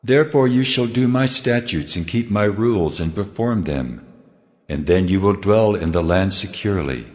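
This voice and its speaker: A man's voice: lowish voice, expressive, normal speed, a little mellow, natural voice. A man playing God as a solemn, commanding lawgiver in a dramatized scripture reading. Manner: solemn, authoritative, declarative. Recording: long cavernous reverb.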